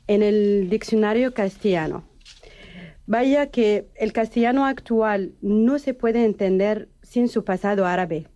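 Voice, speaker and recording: A woman's voice: mid-range voice, warm tone. A woman adult student giving an earnest prepared explanation, with small restarts.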